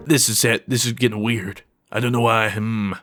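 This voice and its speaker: A man putting on a mock soothing bedtime voice, low and slow with a breathy croon. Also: level tone, a bit bright, soft background music, lightly acted.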